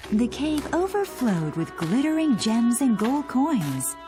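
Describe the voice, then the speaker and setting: A woman reads a children's fairy tale as narrator. Her voice is fairly high and expressive, rising and falling freely over clear background music. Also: slow pace. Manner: expressive storytelling. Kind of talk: scripted children's story narration.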